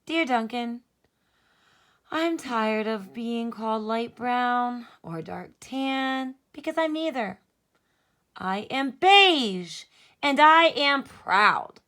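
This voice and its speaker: A woman voicing a proud but wounded storybook crayon, stressing its grievances with drawn-out indignation. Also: fairly high pitch, animated, sharp tone, exaggerated voice. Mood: proud, indignant. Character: proud, wounded beige crayon tired of second place.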